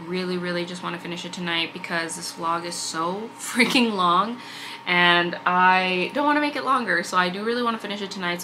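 A woman's voice: on the low side, background noise. A young woman chatting casually about books in a reading vlog, with a slightly congested allergy voice and a constant stream of "like" and "um". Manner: chatty, filler-heavy with frequent "like" and "um". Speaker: book vlogger. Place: indoor room with bookshelves. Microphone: fixed camera at desk distance.

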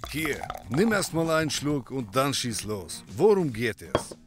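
An actor playing a friendly European barkeeper in a comedy audio drama, easygoing and confiding in manner. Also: male voice, medium pitch, very animated, unhurried. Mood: welcoming. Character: friendly European barkeeper, helpful male pub owner.

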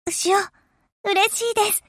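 A woman's voice: very high voice, steady tone, normal speed, no echo, clean studio sound. A woman voicing an earnest, girlish young warship girl in an anime game. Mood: shy, happy. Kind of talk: Japanese anime game voice acting.

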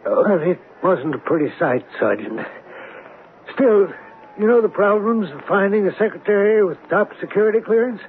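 An anxious, evasive businessman in a vintage radio play. His voice sits fairly high and sounds hesitant and defensive, on a tinny recording with some noise. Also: expressive, normal speed. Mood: worried, defensive. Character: flustered, evasive male company president. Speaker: actor in a radio mystery drama. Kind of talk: 1970s radio drama acting.

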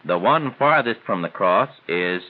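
Man, 1940s training film narrator, highly varied intonation, formal didactic delivery, narrow phone-like vintage sound. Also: animated, a little slow. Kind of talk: scripted 1940s instructional narration. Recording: tinny band-limited 1940s film soundtrack.

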